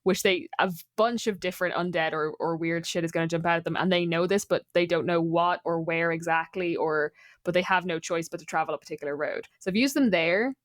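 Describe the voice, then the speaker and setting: A woman in casual, informal conversation about tabletop gaming, animated and teasing as a podcast co-host. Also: fairly low pitch, a little fast, no room sound, clean studio sound. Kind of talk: casual chat about tabletop game monsters.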